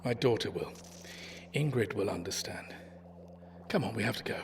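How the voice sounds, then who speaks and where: An actor playing a sensible, urgent old care home resident with a military past in an audio drama. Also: male voice, fairly low pitch, expressive, normal speed, far from the mic, slight background noise. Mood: alarmed, firm.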